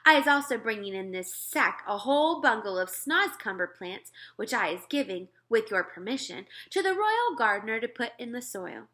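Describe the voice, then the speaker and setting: A woman's voice: on the high side, sing-song, moderate pace, few pauses, fairly bright tone. A woman voicing a big, kindly, eager male giant in a children's storybook, with exclamatory, folksy mangled grammar. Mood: earnest, proud.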